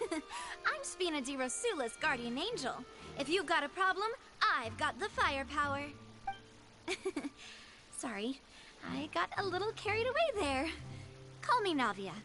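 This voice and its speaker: A woman's voice: high voice, very animated, unhurried, long pauses, full character voice. A woman playing a confident, playful young woman in a game. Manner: confident, playful, laughs easily. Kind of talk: game cutscene voice acting. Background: game music.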